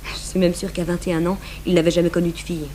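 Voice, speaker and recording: A woman's voice: fairly low pitch, level tone, quick pace, mellow, lightly acted. An actress playing a detached young woman who speaks coolly and matter-of-factly in a French radio crime drama. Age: young adult. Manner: cool, matter-of-fact. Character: cool, detached young bourgeois sister of victim. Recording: faint noise and hum, old radio recording.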